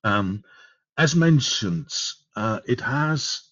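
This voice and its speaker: A man with a Dutch accent presenting a product in a webinar, speaking haltingly with many fillers and repeated words. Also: medium pitch, lively intonation, a bit bright, no echo, highs cut off. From Netherlands.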